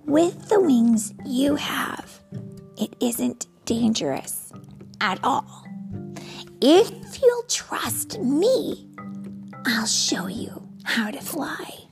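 A woman voicing a friendly, adventurous cloud in a children's storybook, speaking softly and calmly. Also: high voice, unhurried, gravelly, soft background music, pitched above the performer's own voice, exaggerated voice. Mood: gentle. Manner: soft, calm, encouraging.

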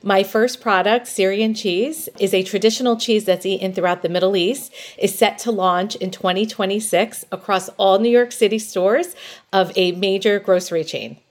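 A woman's voice: mid-range voice, normal speed. A middle-aged woman calling in to a podcast advice line, describing her food business in a poised, articulate way. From New Jersey. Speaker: caller. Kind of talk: call-in podcast advice segment.

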